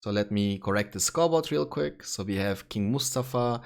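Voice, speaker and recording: A man in his twenties from Turkey, casting a strategy game tournament with quick play-by-play and light jokes. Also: fairly low pitch, lively intonation, gravelly. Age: 20s. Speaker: esports caster. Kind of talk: game commentary. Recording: music playing behind.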